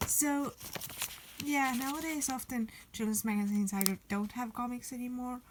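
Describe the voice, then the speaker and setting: A woman's voice: a little high, steady tone, normal speed, background noise. A young woman from Estonia, chatting enthusiastically in a casual vlog voice-over. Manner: chatty, enthusiastic, repeats "really". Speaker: vlogger.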